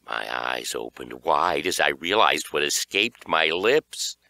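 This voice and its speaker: A man narrating a children's horror audiobook in an expressive storytelling style. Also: extremely low pitch, slow, no echo.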